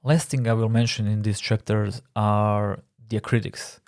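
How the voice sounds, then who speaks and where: A man with a Croatian accent talks through a design lesson in a calm, measured voice that sits a little low. His phrasing is slightly non-native. The sound is dry and close, with no room around it. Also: dry recording. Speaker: design instructor. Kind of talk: scripted tutorial narration.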